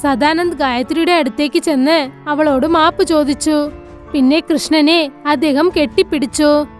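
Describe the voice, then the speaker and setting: A woman with a high-pitched voice narrates a scripted moral tale for children. Faint music runs behind her storytelling. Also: fairly even intonation, moderate pace.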